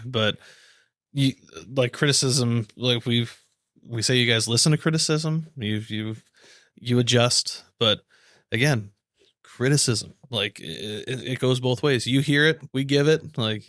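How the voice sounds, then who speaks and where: A man's voice: medium pitch, a little slow, fairly bright tone, no echo. Casual man on a podcast, very dry sound. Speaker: podcast co-host. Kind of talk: casual sports podcast chat.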